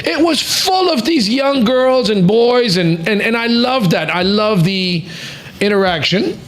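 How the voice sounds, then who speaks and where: A middle-aged man hosts a casual podcast in a fairly high voice. He talks with plenty of enthusiasm, jumping between thoughts and repeating words as he restarts sentences. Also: lively intonation, unhurried, fairly bright tone. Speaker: podcast host. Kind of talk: casual chat.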